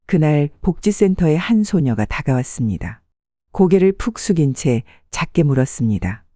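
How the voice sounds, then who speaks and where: Calm older woman narrating a story. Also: low voice, normal speed, no echo, clean studio sound. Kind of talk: scripted audiobook narration.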